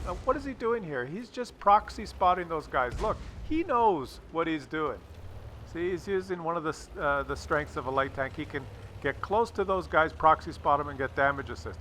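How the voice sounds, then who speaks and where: A man with a bright, forceful and slightly high voice commentates game replays in a casual way. He is jokey and crude and often repeats his phrases. Vehicle noise from the game is clearly heard behind him. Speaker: host of gameplay commentary video.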